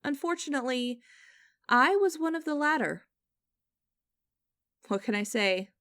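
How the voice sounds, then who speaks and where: A woman playing a stern, mocking young company boss in a scripted audio roleplay, speaking in a formal, deliberate and condescending way. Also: high-pitched, lively intonation, fairly bright tone, dry recording. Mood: bitter, controlled.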